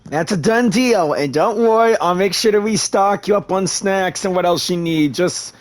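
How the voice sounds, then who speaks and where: A man's voice: high voice, normal speed. A man giving casual gameplay commentary as a gaming host, chatting back to the characters on screen.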